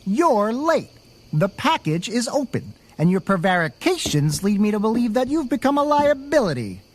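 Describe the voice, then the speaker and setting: An actor playing a ruthless, wordy gang boss with a sneering, bossy manner in a pulp radio drama. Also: male voice, high-pitched, big pitch swings, moderate pace. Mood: menacing. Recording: noisy sound-effect ambience behind voice.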